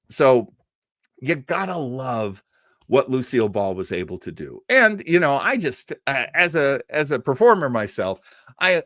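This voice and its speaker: A man's voice: medium pitch, no echo, clean studio sound. An American man talking casually to livestream viewers as a show host, telling stories with a fan's enthusiasm and emphatic repetition. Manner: enthusiastic fan storytelling. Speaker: host of an old-time radio show; performer.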